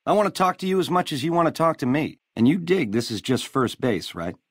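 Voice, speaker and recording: An actor playing a slick, swaggering casino gangster, cocky and glib, in a video game full of 1950s lounge slang. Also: male voice, fairly high pitch, expressive, fairly quick, husky, no room sound, studio quality. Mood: coaxing, confident.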